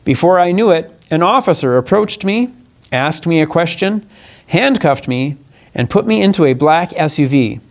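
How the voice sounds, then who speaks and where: Male political candidate, slightly high voice, earnest, deliberate, halting delivery, press statement partly read aloud. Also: lively intonation. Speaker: congressional candidate.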